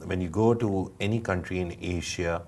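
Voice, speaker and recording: A low-pitched man with a Pakistani accent, sounding like he is in his thirties. He talks in an earnest, reflective way, with plenty of fillers, while co-hosting a studio chat. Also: steady tone, normal speed, a little mellow, a little hiss or hum. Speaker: co-host.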